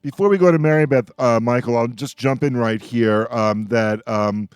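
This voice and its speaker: A man's voice: medium pitch, normal speed, smooth, no echo. A man hosting a casual roundtable, speaking in stop-start bursts with repeated words. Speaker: radio discussion host.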